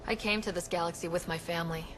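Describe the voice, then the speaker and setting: An actress playing a confident young team leader in a video game, wry and direct. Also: female voice, on the low side, fairly even intonation, fast talker, noisy background, lightly acted.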